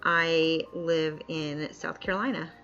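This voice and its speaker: A woman's voice: low-pitched, fairly even intonation, slow, fairly bright tone. Chatty woman streamer, lo-fi mic. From upstate New York. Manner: chatty, laughs often, reads chat aloud. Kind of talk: casual live-stream chat while playing. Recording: dull lo-fi mic with faint music.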